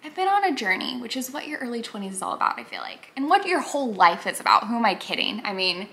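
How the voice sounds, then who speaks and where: A woman's voice: fairly high pitch, big pitch swings, fast, creaky, bright, forceful tone. A woman in her twenties chatting casually to camera in a get-to-know-me vlog, bubbly and full of filler words. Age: 20s. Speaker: YouTuber.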